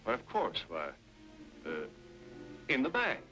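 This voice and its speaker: A villainous colonel in a vintage radio western. He is commanding and smoothly scheming, his pitch rising and falling dramatically over prominent music. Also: huge pitch swings, a little slow, bright, forceful tone, full character voice. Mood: flustered politeness. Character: ruthless power-hungry colonel, male villain grabbing control. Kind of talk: old-time radio western acting.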